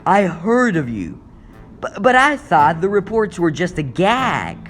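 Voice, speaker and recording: Guy doing a young superhero for a comic dub, high voice swooping all over, wisecracky and sorry for himself. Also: big pitch swings, unhurried, a bit bright, soft background music, full character voice. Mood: astonished, defiant. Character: young male superhero, self-pitying, wisecracking, easily flustered. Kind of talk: animated superhero cartoon-style dub.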